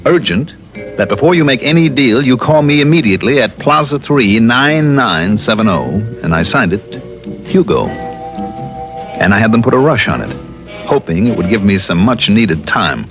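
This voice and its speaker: An actor with an American accent playing a wry, cocky insurance investigator who narrates his case in an old-time radio drama. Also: fairly low pitch. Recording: dull lo-fi vintage radio recording.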